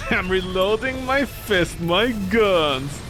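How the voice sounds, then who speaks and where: A non-native English-speaking man rambles through a game stream, swearing freely and swinging between loud outbursts and mumbled asides. His voice sits high for a man. Loud game music plays under his talk. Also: animated, very slow. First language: not English; grew up with French, Italian. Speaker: gaming streamer. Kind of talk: casual let's-play commentary.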